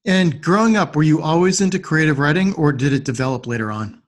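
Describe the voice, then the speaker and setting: Male podcast host, higher-pitched voice, friendly interview delivery. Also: fast talker. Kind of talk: friendly interview and show introduction.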